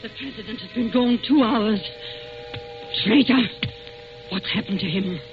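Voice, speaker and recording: Actress as fierce bossy crime society lady, high voice, dramatic old radio acting, tinny hissy sound. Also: unhurried, soft background music, close to an everyday voice. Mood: accusing. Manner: commanding, dramatic, intense. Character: fierce, imperious woman of a criminal society, devoted, hot-tempered.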